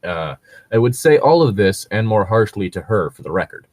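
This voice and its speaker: A man with a low voice talks casually through his opinions, stopping and restarting as he goes. The sound is dry and close, and he peppers his talk with fillers and the odd swear word. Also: sing-song, normal speed, darkish tone, dry recording. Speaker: podcast host reacting to read-aloud Reddit posts. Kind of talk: casual chat and commentary.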